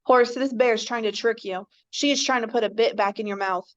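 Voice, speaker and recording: A sly, sardonic male baboon voiced by a woman holds court in a homemade radio play. Her slightly high voice stays dry and dismissive, and the recording sounds dull and lo-fi. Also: moderate pace, close to an everyday voice. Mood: scheming. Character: clever scheming male baboon. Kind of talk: amateur radio drama table read.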